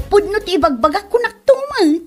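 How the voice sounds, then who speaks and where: A woman's voice: extremely high pitch, sing-song, brisk, music in the background. A woman playing a spiteful, judgmental village gossip in an Ilocano comedy drama, exclaiming indignantly with an Ilocano accent. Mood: scandalized gossiping.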